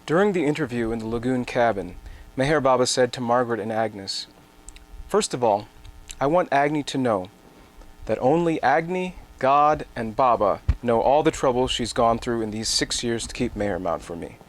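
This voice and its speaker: A man's voice: medium pitch, expressive, normal speed. A man serves as narrator in a dramatic reading. His voice sits in the middle range for a man as he reads scripted lines and quotations. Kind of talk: scripted narration with quoted speech.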